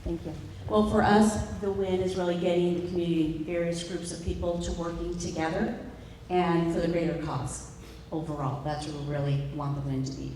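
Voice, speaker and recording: A woman's voice: fairly low pitch, flat delivery, a little fast, darkish tone, slight background noise. A woman participant earnestly sharing her thoughts at a workshop table. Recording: distant mic in echoey hall.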